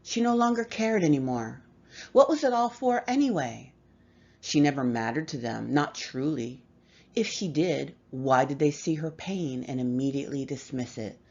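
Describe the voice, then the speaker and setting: A woman reading a horror story aloud with measured, expressive delivery and dramatic pauses. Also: extremely low pitch, dull audio. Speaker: story reader.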